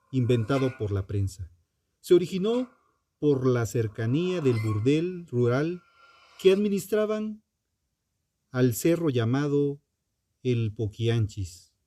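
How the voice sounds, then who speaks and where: A man, a podcast host delivering scripted true-crime narration in a dramatic, deliberate way with pauses. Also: medium pitch, dark tone, a little hiss or hum.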